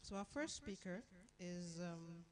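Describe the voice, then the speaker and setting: Event emcee, mid pitch, casual and a bit apologetic, faint background noise. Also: lively intonation, slow. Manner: casual, apologetic, checking mics between introductions. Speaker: conference emcee. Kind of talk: introducing speakers at a conference. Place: conference venue.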